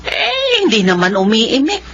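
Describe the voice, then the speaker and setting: A woman's voice: fairly high pitch, huge pitch swings, measured pace, noisy background, heavily acted. A woman playing an exasperated, fretful mother in a radio drama, with a Filipino accent. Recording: dull lo-fi radio audio.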